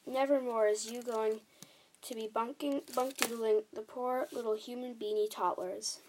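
Woman acting storybook male giant, excitable, kindly, emphatic, exclamatory, garbled quaint grammar. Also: fairly high pitch, moderate pace, background noise, close to an everyday voice. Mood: indignant, vehement.

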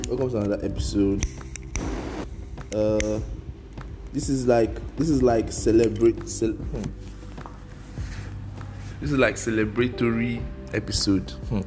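A man's voice: on the low side, fairly even intonation, slow, pauses often, music in the background. A young Nigerian man whose first language is Igbo, hosting a casual solo podcast in a loose, rambling way, mixing slang and pidgin. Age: young adult. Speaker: podcast host.

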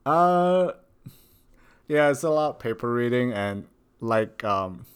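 A man's voice: medium pitch, lively intonation, takes it slowly, smooth. A young man co-hosting a podcast as a grad student, chatting casually with lots of fillers and repeated words. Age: young adult. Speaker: podcast co-host. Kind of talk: casual chat.

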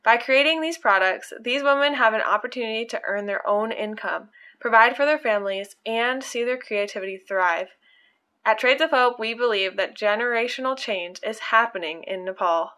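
A woman hosting a podcast, reading stories aloud in an earnest, measured way. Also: a little high, pushed and bright, clear, resonant tone. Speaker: podcast host.

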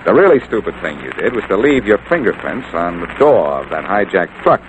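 An actor playing a cool, confident amateur detective in a 1940s radio drama, wry and needling. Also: male voice, sits low, big pitch swings, brisk, highs cut off. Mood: accusing, wry. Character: cool, wisecracking amateur detective, sure of himself. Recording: noisy old-time radio recording.

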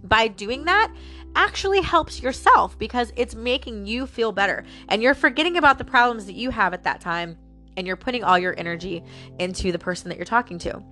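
A woman's voice: a little high, animated, a little fast, sharp tone, faint music behind. Chatty woman with a stuffy, nasal voice. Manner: chatty, musing aloud, addresses listeners as guys. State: stuffy nose. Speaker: podcast host. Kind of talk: rambling personal monologue.

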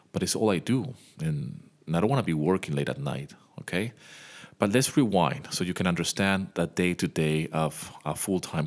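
A man in his thirties who grew up in Venezuela, hosting a casual podcast monologue and talking straight to his listeners. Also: low voice. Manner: chatty, speaks straight to the listener. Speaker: podcast host, music composer. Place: home.

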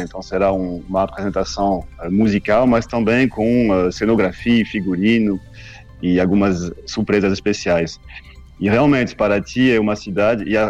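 A man's voice: fairly low pitch, a little mellow, lo-fi sound. A man, a French-born musician, answering questions on a radio show, with frequent restarts and fillers. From France. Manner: halting, many restarts and fillers. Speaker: musician guest.

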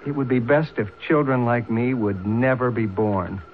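Radio drama actor: sensitive, embittered young gentleman, grave formal speech. Thin vintage audio with faint noise. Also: mid-range voice, moderate pace, lightly acted. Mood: bitter, brooding. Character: proud, bitter, sensitive young gentleman outcast. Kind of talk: old-time radio drama acting. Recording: narrow-band, noisy old radio recording.

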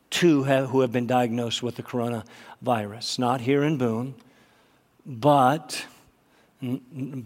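A male pastor preaching a sermon, slightly nasal from a cold, emphatic and rising in pitch on his key points. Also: darkish tone. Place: church sanctuary. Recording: long hall reverb.

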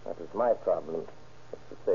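An actor playing a calm, secretive ship smuggler with formal, clipped phrasing in an old radio drama. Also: male voice, deep voice, brisk, gravelly. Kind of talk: 1930s radio drama acting. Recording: tinny, hissy old radio recording.

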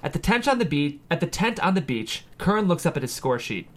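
Animated, higher-voiced man reading stage directions. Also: fairly quick. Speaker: screenplay narrator at a table read. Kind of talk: scripted narration, reading stage directions aloud.

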